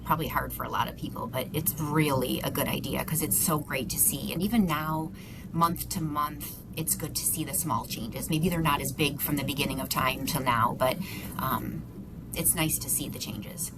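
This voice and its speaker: A woman's voice: low voice, steady tone, moderate pace, background noise. A fifty-year-old woman from Buffalo, New York, a podcast guest who talks in a friendly, modest way with frequent ums. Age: 50s. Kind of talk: casual interview chat.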